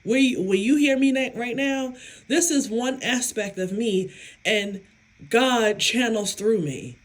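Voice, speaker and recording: A woman vlogger and psychic medium talking casually to her viewers, with a hoarse, raspy voice and an emphatic, self-assured way of speaking. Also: a little high, lively intonation. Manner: slow, emphatic, self-affirming, laughs often. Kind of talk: casual talk to camera.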